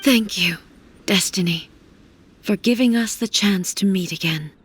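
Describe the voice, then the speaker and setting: A woman voicing a stoic, solemn warrior ruler in a fantasy video game, speaking formally and gravely. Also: mid-range voice, unhurried, fairly bright tone, a little hiss or hum, close to an everyday voice. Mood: solemn, composed.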